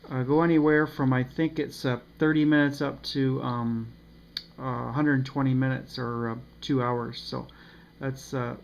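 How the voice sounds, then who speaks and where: A man giving a casual, unscripted review of a radio, pausing often with ums and uhs. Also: mid-range voice. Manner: hesitant, many ums and uhs. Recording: slightly dull with faint noise.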